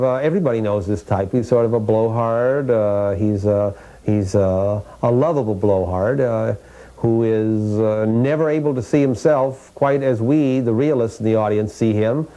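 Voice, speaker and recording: A man with an American accent gives explanatory interview commentary as a historian, haltingly searching for his words. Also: fairly low pitch, mellow, poor audio.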